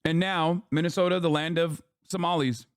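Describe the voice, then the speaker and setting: A man streaming and reacting to a podcast clip, profane and mocking, getting heated and loud. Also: fairly high pitch, steady tone, moderate pace, clear, resonant tone, no echo, studio quality. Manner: profane, mocking, shouting in outrage. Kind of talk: heated live-stream commentary.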